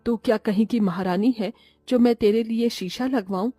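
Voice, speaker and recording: A snobbish, scolding cartoon mother-in-law, played by a woman. Her voice is soft-toned and slightly rough, closely recorded and dry, over music. She is sharp-tongued and sarcastic. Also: fairly high pitch, normal speed, dry recording, lightly acted. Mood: scornful, bossy. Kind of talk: Hindi cartoon moral-story voice acting.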